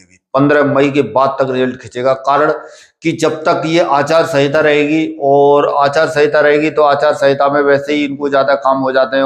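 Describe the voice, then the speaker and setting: A man giving informal, instructive advice to exam candidates like a coach, repeating himself and often pausing on filler phrases. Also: medium pitch. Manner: repetitive, halting, with filler phrases and check-questions; instructive, paternal address to students. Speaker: coach at a physical training academy for police and army recruitment. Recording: echoey room.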